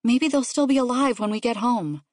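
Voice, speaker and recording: An earnest little boy, played by a woman reading an audiobook, with a raised, childlike pitch. He is plaintive and insistent, and the sound is dry and close. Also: normal speed, darkish tone, no room sound, lightly acted. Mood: reassuring. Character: earnest young boy, a worried, protesting son. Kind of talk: audiobook character voice.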